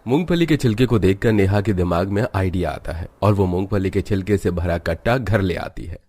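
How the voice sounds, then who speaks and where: Male narrator for cartoon tales: low voice, scripted storytelling delivery. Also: a little fast, mellow.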